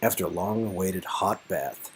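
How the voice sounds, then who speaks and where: A man's voice: low-pitched, normal speed, a little hiss or hum, poor audio. A man playfully reading and playing a story game, casual and a little hesitant, often repeating himself. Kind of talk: casual playthrough with narration read aloud.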